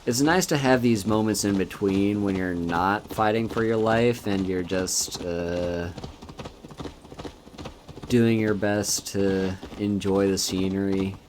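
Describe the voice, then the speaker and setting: A man streaming a video game, chatting casually in a relaxed, soft-spoken and musing way as he plays. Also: on the low side, takes it slowly, a little hiss or hum. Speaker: VTuber streamer. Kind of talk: casual gameplay commentary. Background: game music.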